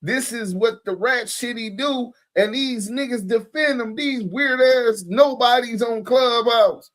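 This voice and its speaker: A man's voice: extremely high pitch, unhurried, fairly bright tone. American male stream host, mocking, foul-mouthed slang, casual reaction commentary. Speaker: livestream host reacting to clips.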